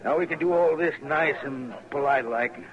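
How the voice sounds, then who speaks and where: A man's voice: medium pitch, moderate pace, natural voice. An actor playing a menacing, big-talking Old West gunman in an old radio drama, taunting with mock politeness. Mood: menacing, mock-polite. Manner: mock-polite, taunting, folksy drawl-like phrasing. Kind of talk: old-time western radio drama acting. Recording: dull old radio recording, crowd murmur.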